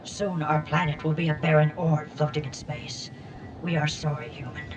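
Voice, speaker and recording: An actor playing a formal alien leader in a radio drama, speaking in stilted, precise sentences. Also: male voice, a little high, flat delivery, normal speed, over music, natural voice, rough recording. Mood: resigned. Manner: stilted, precise, clipped commands. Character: formal, solemn male alien leader from dying planet.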